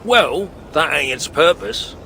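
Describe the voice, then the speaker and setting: Gruff folksy tinkerer for a game, highish creaky voice that swings around, slangy n wry, noisy background. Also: male voice, animated, slow pace, pushed and bright, exaggerated voice. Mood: matter-of-fact, wry. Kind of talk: colloquial video game character acting.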